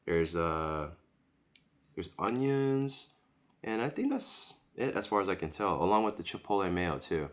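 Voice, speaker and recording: A man's voice: deep voice, lively intonation, measured pace. A man reviewing a sandwich while eating it, chatting casually and recovering from a cold, recorded at home on a camera-mounted mic. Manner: chatty, rambling, leisurely tasting commentary. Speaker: food vlogger. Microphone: camera-mounted shotgun mic.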